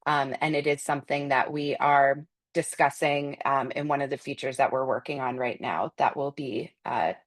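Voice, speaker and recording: Low-voiced woman explaining software in a webinar. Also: steady tone, moderate pace, few pauses. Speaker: software product presenter answering customer questions. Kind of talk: unscripted webinar walkthrough and Q&A.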